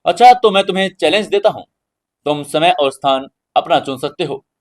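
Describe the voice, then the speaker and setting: Audio story hero, man narrator acting: confident challenger, slightly high voice, proud, taunting. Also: animated, moderate pace, natural voice. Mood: calm confidence. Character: confident, cocky male fighter-healer challenger.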